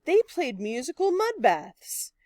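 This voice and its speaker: A woman reading a children's picture book aloud in an expressive, deliberate storytelling manner. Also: high voice, no room sound. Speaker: storybook reader.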